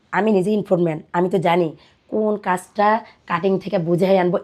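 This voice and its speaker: A woman's voice: fairly low pitch, darkish tone. A young Bangladeshi woman in her twenties, a podcast guest telling her own life story in conversation and retelling what people said to her. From Dinajpur, Bangladesh. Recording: music under the voice. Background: background music.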